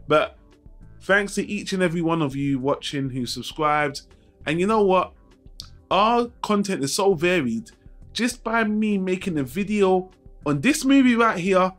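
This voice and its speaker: A man with a fairly high voice speaks as the host of a casual film review vlog. He addresses viewers in an informal, frank way and swears mildly now and then. Music plays softly behind him. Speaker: YouTube channel host.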